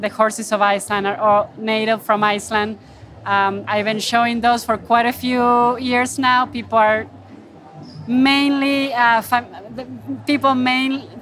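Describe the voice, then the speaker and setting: A woman speaking earnestly in an unscripted interview, pausing to find her words, on a handheld microphone. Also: a little high, fairly bright tone. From Argentina. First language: Spanish. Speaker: wildlife photographer interviewed at her exhibit. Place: gallery booth at an indoor art fair.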